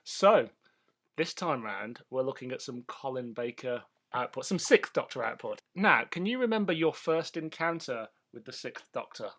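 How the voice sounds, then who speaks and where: Male podcast host, casual and chatty, middle-pitched voice, detail-minded banter. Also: expressive, normal speed, a bit bright. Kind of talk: casual banter between co-hosts.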